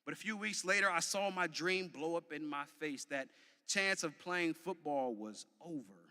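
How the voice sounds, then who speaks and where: Forceful American man speaking in a reverberant hall. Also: a little high. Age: early 30s. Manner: emphatic, deliberate, preacher-like repetition with dramatic pauses. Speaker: graduation commencement speaker. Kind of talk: motivational commencement speech. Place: large venue, graduation ceremony.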